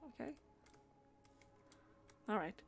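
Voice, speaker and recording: A woman streaming a game, murmuring her thoughts in loose asides as she plays. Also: takes it slowly. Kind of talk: live gameplay, reading dialogue aloud.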